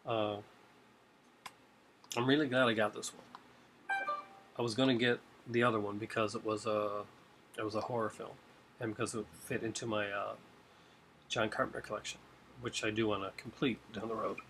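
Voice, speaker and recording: A man's voice: fairly low pitch, level tone, normal speed, pauses often, fairly bright tone, slight background noise. Chatty man with a light Newfoundland accent. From Newfoundland, Canada. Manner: rambling, chatty, many fillers and restarts. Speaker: video host. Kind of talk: casual chat showing purchases. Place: home room with shelves and a TV. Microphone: webcam.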